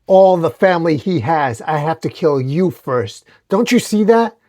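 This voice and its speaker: A man's voice: mid-range voice, moderate pace, darkish tone, natural voice. A menacing comic book killer as read aloud by a man. He is cold and taunting, handing out threats as plain matters of fact. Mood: gloating threat.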